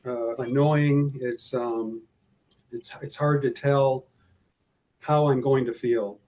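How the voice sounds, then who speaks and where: A man's voice: medium pitch, steady tone, slow, mellow. Hesitant man describing his fears. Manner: hesitant, many fillers and restarts. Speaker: guest describing his driving anxiety. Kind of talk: personal account in an interview.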